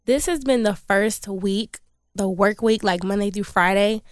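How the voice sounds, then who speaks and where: A woman's voice: medium pitch, measured pace, dry recording, studio quality. A woman co-hosting a podcast who talks bluntly in slang and laughs often. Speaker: podcast co-host. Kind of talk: casual chat.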